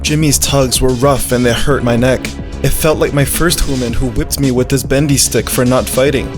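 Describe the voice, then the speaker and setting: A performer voicing an earnest, childlike, food-loving male dog who narrates a visual novel in an animated, naive way. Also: medium pitch, moderate pace, music in the background, natural voice. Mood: pained, scared. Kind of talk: first-person visual novel narration with character dialogue.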